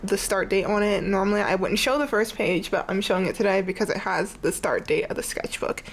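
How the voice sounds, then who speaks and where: A woman's voice: mid-range voice, brisk, slight background noise. A young woman with a stuffy, congested voice, chatting casually and rambling as she shows her sketchbook from her bed. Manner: chatty, rambling, says "like" often. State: congested, sniffly. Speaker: art vlogger. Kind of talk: casual sketchbook tour chat.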